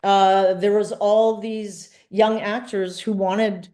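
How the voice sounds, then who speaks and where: A woman's voice: medium pitch, level tone, slow pace, warm tone. Woman in her sixties, chatty storyteller. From born in Trinidad, grew up in Vancouver. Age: 60s. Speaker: filmmaker guest. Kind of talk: casual interview chat.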